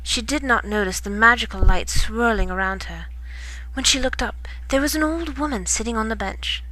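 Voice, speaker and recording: A woman reading a children's bedtime story in expressive storybook narration. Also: fairly high pitch, normal speed, low-quality recording.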